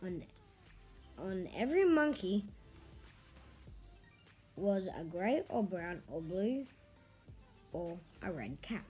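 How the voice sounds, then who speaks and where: A woman reading a children's story aloud in an expressive, theatrical way with drawn-out phrasing. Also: medium pitch, soft background music. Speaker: storybook reader.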